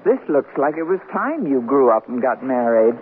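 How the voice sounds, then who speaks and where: Arch wife, tinny radio. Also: female voice, extremely low pitch, sing-song, normal speed. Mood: arch, breezy. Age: middle-aged. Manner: arch, dry teasing. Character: mature, witty, self-assured newlywed wife, teasing. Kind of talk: radio comedy drama acting. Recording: tinny, noisy old radio broadcast recording.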